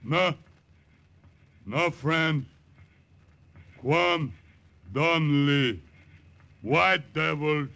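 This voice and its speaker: An actor playing a stern jungle chief who speaks halting, broken English in a 1950s radio drama. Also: male voice, a little high, gravelly, pushed and bright, full character voice. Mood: hostile, commanding. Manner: halting, emphatic, clipped broken phrases. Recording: vintage radio drama recording.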